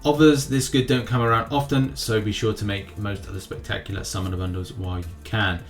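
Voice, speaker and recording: A man's voice: lowish voice, fairly even intonation, brisk. Man hosting gaming news over music. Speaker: gaming news video host. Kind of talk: casual commentary on mobile game news. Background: background music.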